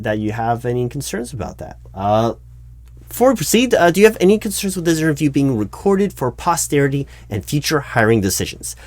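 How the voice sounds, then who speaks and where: A man's voice: mid-range voice, dry recording, natural voice. A man playing a flustered, officious recruiting manager in a one-sided job interview roleplay, stammering and repeating himself. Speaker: roleplay performer.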